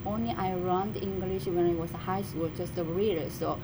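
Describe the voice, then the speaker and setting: A woman from Korea with a Korean accent, a college student answering interview questions in halting English, searching for words with many fillers. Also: on the low side, steady tone. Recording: noticeable background noise.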